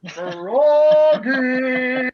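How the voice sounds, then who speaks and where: A man voicing an impatient father frog in a storybook, calling out commandingly in drawn-out words. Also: very high-pitched, expressive, lo-fi sound, heavy background noise, pitched above the performer's own voice, exaggerated voice. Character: Froggy's father, impatient bellowing adult male frog.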